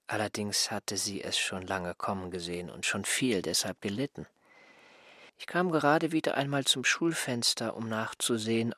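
A man acting an aggrieved, smug former servant in a radio drama, reproachful and pedantic, with a husky, breathy voice. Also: fairly low pitch, pushed and bright, no echo, studio quality, heavily acted. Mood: matter-of-fact, smug. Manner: reproachful, self-righteous, pedantic.

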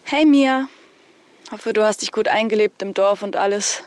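Actress as a concerned, mid-pitched woman confidante. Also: normal speed, dry recording, natural voice. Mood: uneasy, concerned. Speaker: actor in audio drama. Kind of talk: naturalistic audio drama dialogue.

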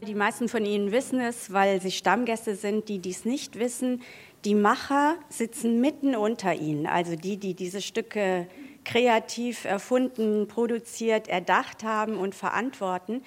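A woman's voice: mid-range voice, unhurried. A woman presenter addressing a live audience in a playful, dryly humorous way. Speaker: event presenter.

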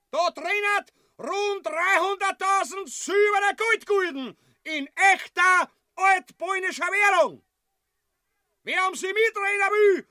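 A man's voice: very high-pitched, sharp tone, dry recording, studio quality, heavily acted. An actor playing a greedy, cowardly usurper king in a grotesque comedy radio play, declaiming and bellowing in an Austrian accent.

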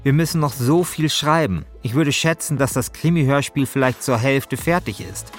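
Male host, mid-pitched, narrating and chatting informally over soft music. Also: moderate pace. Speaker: podcast host. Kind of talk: narration and casual chat.

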